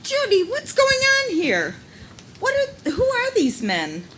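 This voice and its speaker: A woman's voice: very high-pitched, huge pitch swings, slow, a bit bright, exaggerated voice. A woman playing a haughty, indignant businesswoman in a live radio police drama parody, with a theatrical, imperious delivery. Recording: noisy live shipboard stage performance.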